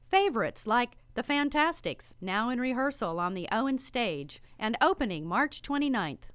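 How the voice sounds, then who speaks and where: A woman's voice: a little high, big pitch swings, moderate pace, fairly bright tone, clean tone, no echo. A woman announcer reading a scripted promotional piece. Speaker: announcer for a theater company.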